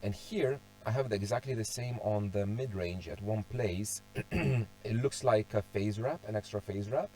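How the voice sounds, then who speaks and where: A young man who is sick and going hoarse walks through a tutorial casually, thinking aloud, restarting phrases and clearing his throat often. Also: on the low side, a little slow, mellow, faint music behind. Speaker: car audio hobbyist presenting a tuning walkthrough. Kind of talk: casual screen-share tutorial.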